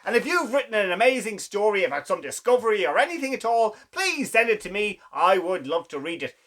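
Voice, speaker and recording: A man hosting and reading children's stories aloud in a theatrical, exclamatory way with dramatic pauses. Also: high voice, sing-song, a bit bright. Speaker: children's story reader, show host. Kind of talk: animated children's storytelling.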